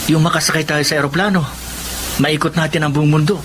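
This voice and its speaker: A man acts out an eager, cheeky young male student with big dreams and a high-pitched voice. He has a Filipino accent and the delivery of radio drama. The recording is clearly noisy, with ambience behind him. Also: steady tone, fast, close to an everyday voice. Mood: earnest, hopeful.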